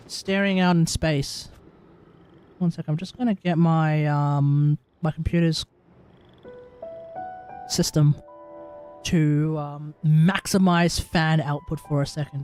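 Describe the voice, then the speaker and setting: A man reacting casually to a game as he streams, halting and thinking aloud. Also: on the high side, steady tone, a little mellow. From Australia. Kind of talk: casual live gameplay reaction. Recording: faint game music underneath. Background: game music.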